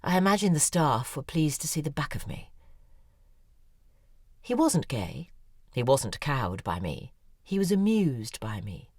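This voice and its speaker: A woman's voice: deep voice, big pitch swings, unhurried, pauses often, darkish tone, breathy edge, dry recording, studio quality, heavily acted. An actress narrating in first person as an acerbic, weary female novelist in an audio drama. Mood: wry. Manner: sardonic, weary. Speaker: narrator and lead character, a novelist.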